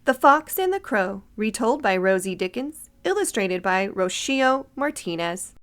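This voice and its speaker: A woman reading a children's storybook aloud as narrator, in an expressive storytelling style. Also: mid-range voice, a little slow. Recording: light music and birdsong behind.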